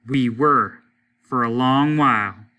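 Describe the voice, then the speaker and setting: A middle-aged father speaks with stern, exasperated firmness, as a male reader performs him. His tone is bright and forceful, and his statements are clipped and final. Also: mid-range voice, takes it slowly, lo-fi sound. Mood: firm, exasperated. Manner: firm, exasperated, decisive.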